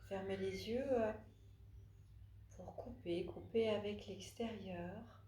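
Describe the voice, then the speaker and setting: A woman leading a guided relaxation as a yoga nidra instructor, speaking haltingly with long pauses and frequent hesitations. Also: mid-range voice, steady tone, warm tone. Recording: roomy echo, faint background noise.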